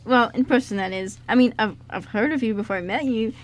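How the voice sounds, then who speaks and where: A woman's voice: a little high, brisk, slight background noise, close to an everyday voice. A woman playing a polite, friendly, slightly shy young man in an amateur radio play, chatty but hesitant.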